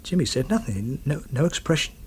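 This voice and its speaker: A former soldier in a radio drama, telling his war story with grim understatement. His voice is husky and rough-edged, and he proceeds haltingly, with dry, bitter wryness. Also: a little high, big pitch swings, a little mellow, exaggerated voice. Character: former soldier grimly recounting a wartime killing.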